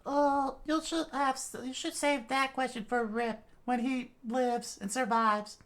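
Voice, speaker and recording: A woman voicing an urgent young female fighter in a much higher voice. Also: steady tone, unhurried.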